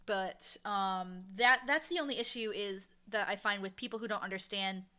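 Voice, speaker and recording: A woman talking casually and with opinions as an interview guest, animated and acting out quotes to make her points. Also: mid-range voice, moderate pace. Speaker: audio drama showrunner, interview guest.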